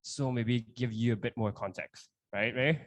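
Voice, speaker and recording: A man's voice: mid-range voice, normal speed, dry recording. Upbeat young man co-hosting a podcast. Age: 20s. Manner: upbeat, laughs easily, finishes others' sentences. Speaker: podcast co-host. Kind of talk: casual chat at a live event. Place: live university event.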